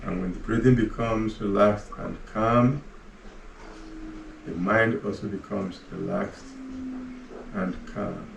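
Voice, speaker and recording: A man leading a guided relaxation, giving slow, soft, calm cues with long pauses between them. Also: on the low side, gravelly. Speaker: meditation guide. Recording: roomy echo, voice far from the mic, faint ambient hum.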